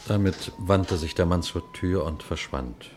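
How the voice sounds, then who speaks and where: Deep-voiced, measured detective inspector. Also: male voice, darkish tone, a little hiss or hum. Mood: measured, observant. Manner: matter-of-fact, measured, inquisitive. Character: composed, shrewd male police detective inspector telling his case. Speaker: narrator and lead actor of a radio play. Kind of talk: German radio crime play, first-person narration and dialogue.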